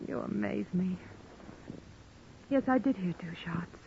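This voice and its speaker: An actress playing an alluring young widow and suspect in a radio noir melodrama, speaking in a breathy, halting, pleading way. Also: female voice, medium pitch. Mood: guarded, hesitant. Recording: muffled, noisy old radio recording.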